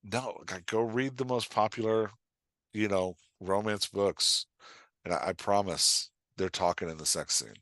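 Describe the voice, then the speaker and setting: Man, lowish pitch, dry close recording, casual emphatic coaching, acts out example lines. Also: a little slow, a bit bright, no room sound. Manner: emphatic, acts out imagined lines, checks with "right?". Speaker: guest, writing coach and author. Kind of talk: casual podcast advice talk.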